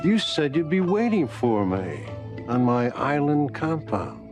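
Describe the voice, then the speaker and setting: A man's voice: a little high, sing-song, a little slow, over music. A man acting as a possessive, controlling rich man in a soap opera. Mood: menacing. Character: possessive, controlling wealthy man confronting a woman.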